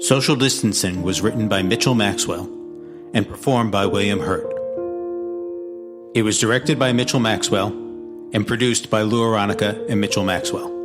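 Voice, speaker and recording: Lowish male credits announcer over music. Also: normal speed. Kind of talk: scripted credits narration. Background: guitar and piano music.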